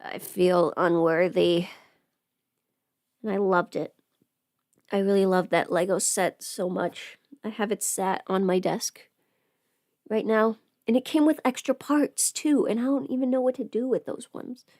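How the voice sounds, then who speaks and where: A woman's voice: medium pitch, steady tone, unhurried, darkish tone, no echo, lightly acted. Woman playing a chatty girl streamer doing makeup, rambly n playful, drops into whispery asides, super dry room sound. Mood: grateful. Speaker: livestreamer doing a get-ready-with-me makeup stream. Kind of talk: casual livestream chat, scripted audio roleplay.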